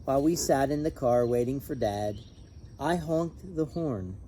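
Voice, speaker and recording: A man reading a children's picture book aloud in an expressive, animated, emphatic way. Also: mid-range voice, unhurried, a little hiss or hum. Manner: animated, emphatic on repeated refrain. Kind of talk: expressive storybook read-aloud with brief asides.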